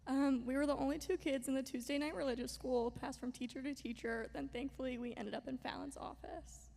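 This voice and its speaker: A young woman reads a tribute aloud, her high voice edged with vocal fry. She sounds moved to the point of tears, and her voice rings in a reverberant sanctuary. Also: fairly even intonation, a little fast, a little mellow, a little hiss or hum. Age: young adult. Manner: emotional, close to tears. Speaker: former student giving a tribute. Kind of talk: reading a prepared tribute. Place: synagogue sanctuary. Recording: long hall reverb.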